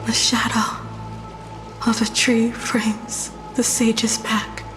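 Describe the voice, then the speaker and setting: An actress voicing a gentle, fading young woman poet in a fantasy game, breathy, weak and halting. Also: on the high side, little pitch movement. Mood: tender, fading. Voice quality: breathy, whispery. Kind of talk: dramatic scripted game voice acting. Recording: music and rain behind.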